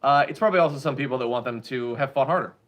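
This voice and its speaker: A man's voice: medium pitch, very fast, a bit bright. A man hosting a political commentary show, giving blunt, sarcastic opinions on the news.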